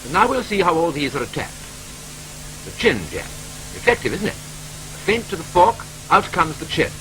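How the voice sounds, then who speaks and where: A man with a British accent narrating a 1940s training film in a brisk, clipped, wryly matter-of-fact manner, from an old film soundtrack. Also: on the high side, big pitch swings, bright, forceful tone, slight background noise, poor audio.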